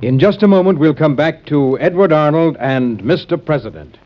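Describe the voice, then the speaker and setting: A man's voice: on the high side, animated, normal speed, faint music behind. A man announcing in a scripted old-time radio style. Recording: dull old radio recording.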